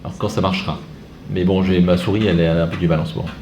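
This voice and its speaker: A man's voice: deep voice, steady tone, rapid-fire, background noise. A man giving an informal training talk to catechists, chatty and digressive with self-mocking asides while demonstrating on a computer. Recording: echoey room, faint ambience.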